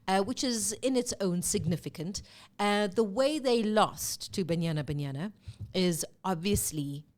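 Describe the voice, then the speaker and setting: A woman hosts a live sports talk show in a loose, chatty way, with plenty of fillers and quick self-corrections. Her voice sits a little low and sounds stuffy from a cold. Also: a little mellow. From South Africa. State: congested with a cold.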